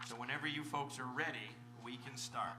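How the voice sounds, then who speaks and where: A man's voice: medium pitch, steady tone, a little fast. A man moderating a live convention panel, introducing things informally to an audience. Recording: echoey convention hall, loud music behind.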